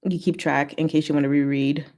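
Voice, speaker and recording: A woman's voice: low-pitched, flat delivery, a little fast, a little mellow. A woman hosting a livestream, chatting informally and giggly as she reads and answers viewer comments. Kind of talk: casual livestream chat, reading comments aloud.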